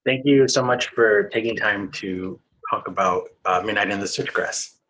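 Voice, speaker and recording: Soft-spoken man asking polite questions. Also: lowish voice, normal speed, poor audio. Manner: polite and hesitant, with fillers. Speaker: interviewer. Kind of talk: interview questions over a video call.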